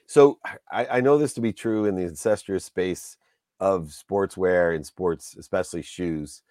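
A man's voice: sits low, measured pace, a little mellow, dry recording, studio quality. A man hosting a casual interview, talking in an enthusiastic, rambling way and heaping praise on his guest. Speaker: podcast host.

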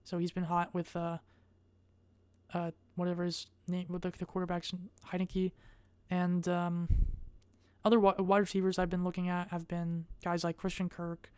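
A young adult woman vlogging in a casual, rambling way. She speaks in a monotone and hesitates often, with many fillers. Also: fairly low pitch, normal speed, clear, resonant tone, no room sound.

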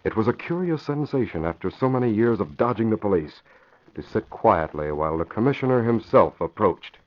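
A man's voice: fairly low pitch, expressive, brisk. A man narrating dramatically in the style of a 1940s radio serial. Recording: muffled, noisy vintage radio recording.

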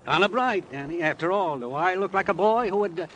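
Slick lawyer with a very lively, swooping delivery. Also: male voice, high voice, fairly quick. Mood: mocking, evasive. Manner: glib, needling, playful sarcasm. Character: slick, cagey, mocking male lawyer. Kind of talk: old-time radio crime drama acting. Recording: slightly noisy vintage radio recording.

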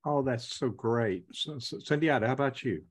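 A man's voice: mid-range voice, moderate pace, a little hiss or hum. A man from the American South hosting a podcast interview in a chatty, enthusiastic way. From Southern United States. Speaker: podcast host.

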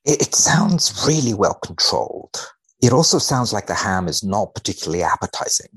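A man speaking as a podcast guest in a measured, deadpan way with dry humour, both chatting and reading research aloud. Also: lowish voice, breathy edge. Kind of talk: wry conversation and dramatic reading of a research paper.

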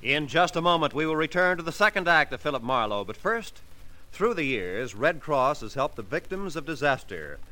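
A man's voice: medium pitch, sharp tone, poor audio. A man announcing on old-time radio in a scripted 1950s style.

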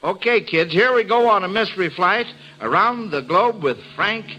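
A man's voice: high-pitched, expressive, moderate pace, highs cut off, background noise. A man hosting a classic radio quiz show for children, with folksy exclamations and theatrical scene-setting on an old broadcast recording.

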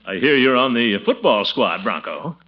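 An actor playing a pompous, bashful middle-aged American uncle with a hammy, chuckling, hesitant delivery in 1940s radio comedy style. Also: male voice, mid-range voice, big pitch swings, normal speed, lightly acted. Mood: jovial, teasing. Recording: scratchy, muffled old radio broadcast.

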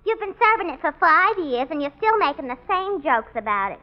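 An actress playing a practical young woman who helps run a tavern in a 1940s radio sitcom, speaking in a brisk, sensible manner. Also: very high voice, big pitch swings, noisy background. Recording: dull old radio broadcast.

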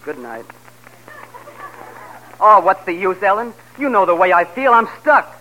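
A bright, high-pitched lovesick husband from 1940s radio comedy. He swoops through hesitant, sheepish pleas on a vintage broadcast recording, a man eager to be taken back. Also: very animated, unhurried, heavily acted, rough recording. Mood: pleading, lovesick.

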